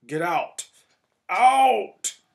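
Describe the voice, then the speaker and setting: A man voicing a cold, spiteful middle-aged male schoolteacher, with slow, clipped, sneering speech. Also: fairly low pitch, steady tone, creaky. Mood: furious.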